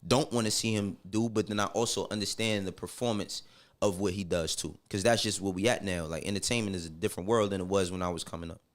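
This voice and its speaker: A man's voice: low voice, fast talker. Candid New York man talking casually. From Harlem, New York, United States. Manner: candid, slangy, emphatic with repeated check-ins. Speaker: podcast guest. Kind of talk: casual interview conversation.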